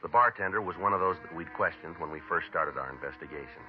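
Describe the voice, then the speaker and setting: Man playing a clipped deadpan detective, very deep voice, brisk, old radio sound with music. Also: lo-fi sound, natural voice. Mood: narrating. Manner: clipped, matter-of-fact narration. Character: terse police detective, middle-aged, calm and methodical. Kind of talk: 1950s radio drama acting.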